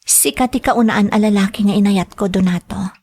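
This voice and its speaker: A woman's voice: medium pitch, moderate pace, darkish tone, slightly rough. A woman with an Ilocano Filipino accent playing a trusting, devoted sweetheart in a radio drama. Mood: tender, pleading. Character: Josie, trusting woman devoted to her first love.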